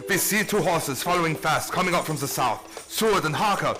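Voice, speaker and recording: An actor playing an urgent, commanding vampire hunter in a radio play, solemn and dramatic, with stilted phrasing. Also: male voice, fairly high pitch, lively intonation, brisk, over music, close to an everyday voice.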